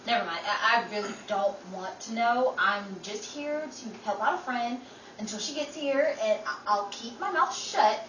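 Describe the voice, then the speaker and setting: Roomy sound with faint noise, actress as wry 1930s radio comedy woman, teasing, sarcastic, lively swings in pitch. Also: normal speed, distant mic.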